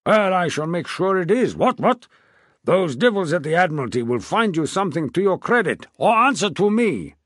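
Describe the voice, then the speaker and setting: A man voicing an impatient, excitable older king who talks loudly and tags his lines with "what, what?". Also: normal speed, no echo, studio quality, exaggerated voice. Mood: hearty. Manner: booming, emphatic, tags "what, what?".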